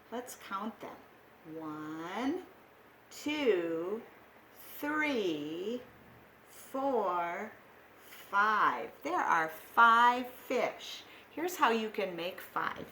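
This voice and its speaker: A woman teaching young children over a video call, talking in a slow, patient, sing-song way. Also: medium pitch, bright, forceful tone, a little hiss or hum. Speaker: preschool teacher.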